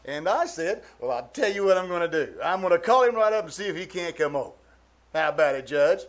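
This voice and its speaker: Blustering middle-aged bachelor, male actor, 1940s radio comedy: jovial, pompous, lively highish pitch, hems and haws. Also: quick pace. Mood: jovial, wheedling. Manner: blustering, chuckling, hemming and hawing. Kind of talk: 1940s radio comedy acting before a live audience. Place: small-town theater stage.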